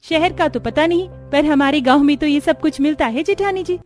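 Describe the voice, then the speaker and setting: A woman's voice: high voice, a little fast, lightly acted. A woman voicing a meek, respectful young village daughter-in-law in a cartoon, polite and hesitant with rustic phrasing.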